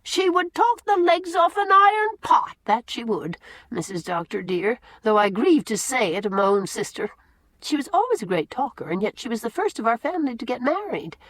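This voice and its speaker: A woman's voice: on the high side, very animated, normal speed, fairly bright tone, no room sound. A woman doing a fussy, chattering old housekeeper. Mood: chatty. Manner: talkative, quaint rural turns of phrase. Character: chatty older female housekeeper, rustic and fussy.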